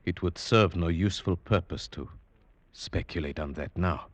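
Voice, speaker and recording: An actor playing an earnest, frightened storyteller in a 1940s radio drama, speaking in a measured, dramatic way. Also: male voice, deep voice, dry recording. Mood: somber. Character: earnest male storyteller recounting a frightening hunt. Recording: old radio broadcast under music.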